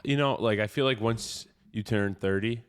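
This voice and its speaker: A man's voice: fairly low pitch, moderate pace, clear, resonant tone. A man in his thirties co-hosting a podcast, chatting casually and rambling with lots of fillers. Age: 30s. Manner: relaxed, rambling with many likes. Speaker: podcast co-host. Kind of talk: casual chat.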